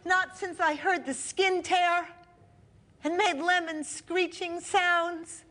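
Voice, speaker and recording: A woman performing a stage monologue as a grieving young village woman who survived war, speaking in slow, halting, incantatory lines. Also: very high voice, steady tone, a bit bright, voice pushed up, full character voice. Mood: anguished.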